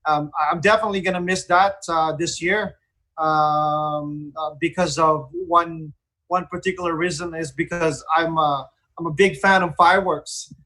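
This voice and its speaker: A man's voice: on the high side, fairly even intonation, moderate pace, bright, forceful tone, poor audio. A man with a Filipino accent chatting casually as the host of a radio talk show.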